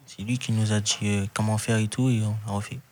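A man's voice: fairly low pitch, little pitch movement, fairly quick, a little mellow. A sixteen-year-old boy from Martinique, a young rapper talking casually in an interview. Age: teens, sixteen. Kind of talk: casual chat.

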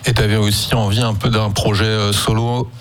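A man hosting a casual radio interview, relaxed and halting, with frequent fillers. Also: on the low side. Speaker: radio host.

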